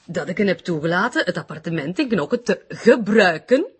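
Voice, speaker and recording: An actress playing a defiant, evasive suspect with a husky voice in a TV crime drama. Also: female voice, on the low side, lively intonation, moderate pace. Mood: defiant, defensive. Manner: defiant, dismissive. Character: defiant, evasive female suspect under police questioning.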